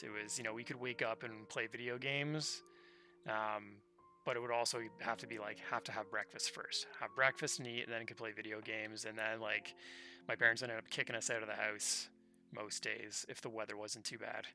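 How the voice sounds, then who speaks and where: A man's voice: fairly low pitch, fairly even intonation, a little fast, pushed and bright. A man in his thirties chatting casually and ramblingly on a livestream while he plays a game. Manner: rambling, easygoing storytelling. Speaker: game streamer. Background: video game music.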